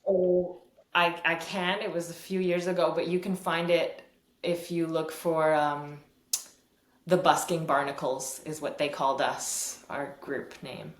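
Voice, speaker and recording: A woman with a low, fairly deep voice answers questions in a relaxed, easygoing way and laughs often. She speaks over a video call, and the room around her sounds echoey. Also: measured pace. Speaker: fiddle teacher and musician. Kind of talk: casual interview answers.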